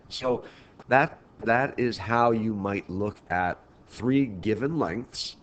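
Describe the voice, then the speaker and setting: A man's voice: low voice, slight background noise. A man with a stuffy head cold giving a recorded math lecture in a casual, meandering way with frequent restarts. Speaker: teacher. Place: at home, recording remotely.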